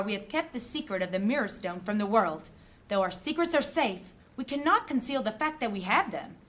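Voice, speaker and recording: A composed, calculating woman of a mages' council. Her audio-drama delivery is formal and deliberate, in a fairly high voice. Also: fairly bright tone. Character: shrewd, composed female mage councillor. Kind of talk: fantasy audio drama acting.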